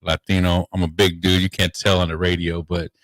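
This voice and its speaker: A man with a low, bass-heavy voice and an easygoing manner, rambling through his stories as a comedian guest on the radio. The sound is dry and close, with no room around it. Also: flat delivery, brisk, fairly bright tone, no room sound. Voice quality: deep bass voice. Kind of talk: casual radio interview. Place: radio studio.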